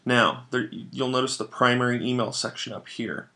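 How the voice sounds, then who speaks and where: A man with a noticeably creaky, fry-edged voice at an average pitch walks through software as a trainer. His delivery is instructional, in the step-by-step style of a screencast tutorial, explaining the reasons as he goes. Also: normal speed, slight background noise.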